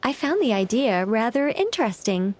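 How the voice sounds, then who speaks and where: A woman's voice: fairly high pitch, big pitch swings, normal speed. A woman playing an eccentric, theatrical novelist in a video game, speaking formally and with great self-assurance. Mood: matter-of-fact, musing.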